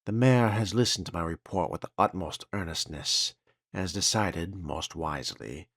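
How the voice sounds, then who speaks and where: A man playing a solemn, uneasy 1950s waterworks foreman, reading a written log in a grave, formal and measured manner. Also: low-pitched, fairly bright tone, dry recording, clean studio sound. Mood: uneasy, haunted. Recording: music behind the voice.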